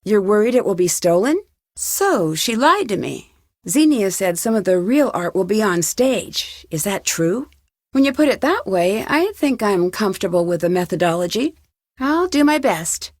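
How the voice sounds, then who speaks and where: A woman's voice: mid-range voice, sing-song, moderate pace, no echo, studio quality. An actress playing an inquisitive, polite young woman detective in a video game, speaking in an earnest, questioning way. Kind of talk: video game dialogue acting.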